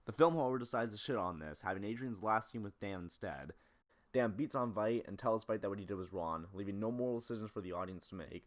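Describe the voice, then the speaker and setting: A man with a fairly low voice narrates a film analysis video. He is blunt and opinionated, sliding between scripted essay and casual, sweary commentary. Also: fast, slight background noise.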